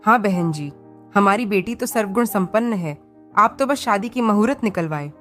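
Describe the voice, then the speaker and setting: A woman's voice: mid-range voice, animated, normal speed, soft background music, close to an everyday voice. A woman voicing a middle-aged, agreeable, coaxing mother of the bride in a cartoon story. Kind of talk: Hindi cartoon story dialogue.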